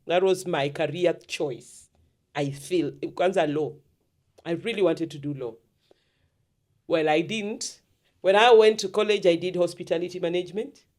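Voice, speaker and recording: Deep-voiced talkative woman. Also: a little slow, pauses often, darkish tone. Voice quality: deep, heavy voice. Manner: talkative, storytelling, mixes in Swahili words. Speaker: podcast host and therapist. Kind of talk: casual conversational interview.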